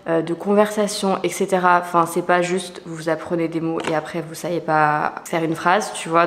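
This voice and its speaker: A young woman chatting casually to camera in a lifestyle vlog, playful and slangy, with quick asides. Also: medium pitch. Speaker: lifestyle vlogger.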